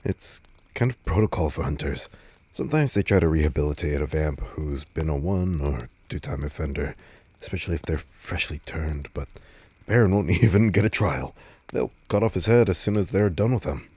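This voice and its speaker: A man playing a laid-back, teasing, protective vampire on a date in an intimate audio roleplay, speaking casually with a husky, breathy voice. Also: rumbling low, animated, normal speed, a little mellow, no echo, exaggerated voice. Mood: grave, candid.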